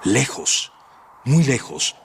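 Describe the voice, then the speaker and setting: A man's voice: medium pitch, sing-song, very slow, creaky, a little hiss or hum. A man narrating a suspense radio drama in a solemn, theatrical, scripted style.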